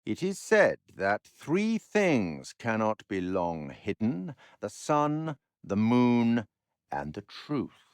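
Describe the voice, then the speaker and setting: An actor playing a refined, sardonic and ominous male curator of macabre tales, narrating a horror game in a measured, dramatic, dryly mocking way. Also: on the low side, huge pitch swings, clear, resonant tone, dry recording, clean studio sound, heavily acted. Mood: ominous, cryptic. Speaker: narrator and host figure of a horror video game. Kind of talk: theatrical scripted game narration.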